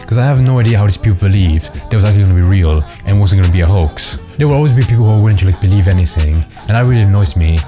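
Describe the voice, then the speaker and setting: Fast low-voiced commentary guy. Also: animated. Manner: casual, filler "like" throughout. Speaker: commentary narrator. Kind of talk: casual commentary voice-over. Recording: voice-over with music behind.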